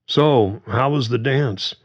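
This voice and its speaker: A man's voice: medium pitch, slow, pitched above the performer's own voice. A man voicing a middle-aged father who talks in a plain, protective way.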